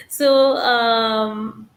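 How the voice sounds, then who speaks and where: Woman hosting a book club, slightly high voice, lots of uh and um while reading out questions. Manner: reads out audience questions with fillers. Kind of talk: online book club interview.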